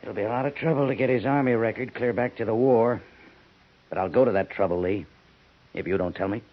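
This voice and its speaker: An actor playing a persistent commanding major with a husky voice in a 1950s radio drama. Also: male voice, lowish voice, a little fast, lightly acted. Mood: probing. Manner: persistent, questioning. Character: probing fort major, firm commanding officer. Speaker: army major. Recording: muffled 1950s radio broadcast.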